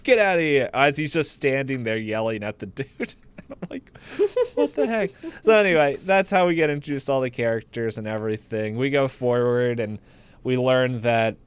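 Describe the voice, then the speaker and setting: A man's voice: medium pitch, lively intonation, moderate pace, smooth. A man co-hosting a podcast, giving a casual, rambling, comedic movie recap and acting out the characters' lines in his own words. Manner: laughs through the telling.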